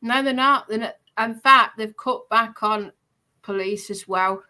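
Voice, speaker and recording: A woman's voice: on the high side, a little slow, pushed and bright. A woman in casual debate, speaking insistently and repeating her phrases.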